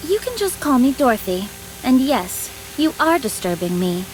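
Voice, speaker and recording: A haughty, elegant, highish-voiced noblewoman. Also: big pitch swings, moderate pace, slight background noise, rough recording. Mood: annoyed. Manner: haughty, genteel, cool. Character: snooty, elegant, refined young noblewoman, icily polite. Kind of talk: video game voice acting.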